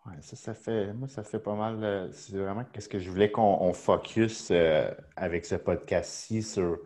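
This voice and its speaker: A man's voice: sits low, fairly even intonation, unhurried, darkish tone. A man hosting a casual podcast, eager and chatty with many fillers. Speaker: podcast host.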